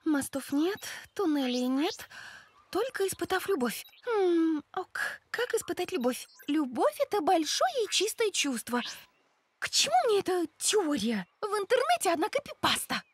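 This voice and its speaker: A high-pitched, bossy cartoon girl. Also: normal speed, dry recording. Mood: brisk, focused. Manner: emphatic, expressive, exasperated. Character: bossy, irritable young girl creature, soft-hearted underneath. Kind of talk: animated children's cartoon acting.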